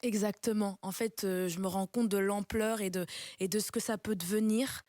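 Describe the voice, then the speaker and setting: A woman's voice: medium pitch, fairly even intonation, moderate pace, warm tone, no room sound, studio quality. A young woman guest on a radio show, talking casually with many fillers and frequent laughter. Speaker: guest singer and TV talent-show coach. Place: radio studio.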